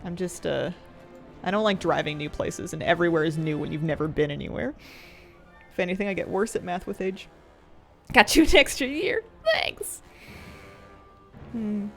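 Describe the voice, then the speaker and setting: A woman in her thirties chatting casually while she plays a game, rambling and halting with restarts and soft laughs. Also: on the low side, expressive, vocal fry, faint music behind. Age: 30s. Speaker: streamer. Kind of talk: casual chat while gaming.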